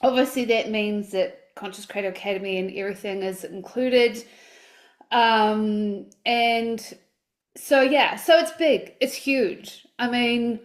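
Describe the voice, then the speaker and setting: Forties woman coach chatting on a livestream, kinda high voice, upbeat, lots of um and you know. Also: slow. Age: 40s. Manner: upbeat, chatty, many fillers and restarts. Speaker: life coach. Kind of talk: casual livestream talk.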